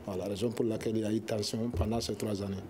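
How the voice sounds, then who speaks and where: A young man, an Arabic speaker talking in French as an association spokesman on a studio panel, halting and searching for words. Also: fairly low pitch, flat delivery, dark tone, slight background noise. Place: television studio.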